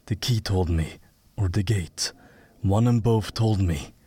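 A man playing a dazed, obsessed mystic haunted by visions in an audio drama, speaking in halting, cryptic, trance-like phrases. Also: lowish voice, warm tone, husky, no room sound, heavily acted. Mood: dazed, eerie.

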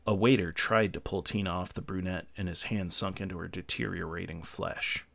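A man's voice: deep voice, steady tone, normal speed, gravelly, a bit bright. A man reading scripted horror fiction as a podcast narrator, with music behind him.

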